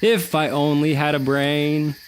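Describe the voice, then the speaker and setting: A man playing an excitable, geeky adventurer in a comedy audio drama, chatty and enthusiastic. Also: a little high, unhurried, a little hiss or hum, close to an everyday voice. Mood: intrigued.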